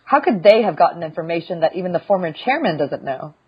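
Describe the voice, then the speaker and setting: A woman's voice: lowish voice, very animated, fast, husky. A woman playing a stern armored warrior. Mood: earnest. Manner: formal, earnest. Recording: muffled lo-fi recording.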